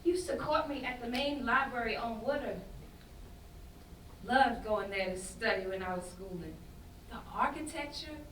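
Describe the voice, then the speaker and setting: A woman playing a soft-hearted, loyal and defensive young woman in a staged play reading, earnest and speaking in vernacular. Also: fairly high pitch. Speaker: student actor in a staged reading. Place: indoor festival staged reading. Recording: echoey room, distant mic, faint noise.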